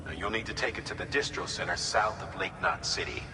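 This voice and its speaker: An actor playing a steady, authoritative commander who briefs a courier in a measured, businesslike way in a game. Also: male voice, low-pitched, close to an everyday voice. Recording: long echo with clear background noise.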